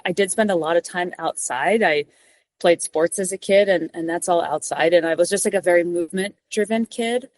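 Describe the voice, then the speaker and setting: A woman's voice: mid-range voice, dry recording. A young woman from New York City answering interview questions from her office cubicle, thoughtful and full of "like" and restarts. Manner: thoughtful, many "like" fillers and restarts. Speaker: podcast guest, mountain guide.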